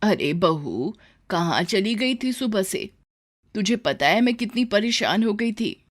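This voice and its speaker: A woman's voice: mid-range voice, sing-song, slow. A woman voicing a scolding, worried elderly mother-in-law in a cartoon story, nagging and exasperated.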